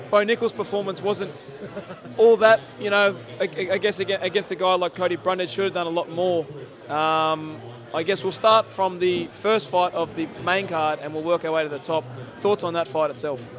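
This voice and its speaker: Hoarse high-voiced host. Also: male voice, normal speed. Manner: excited, rambling, many fillers. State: losing his voice from yelling. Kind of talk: post-fight reaction interview. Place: sports bar at a casino.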